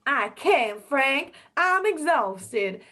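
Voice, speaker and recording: A woman's voice: high voice, very animated, extremely slow, bright, forceful tone, full character voice. A woman in an amateur radio drama playing a weary, exasperated wife and mother. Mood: exhausted, exasperated.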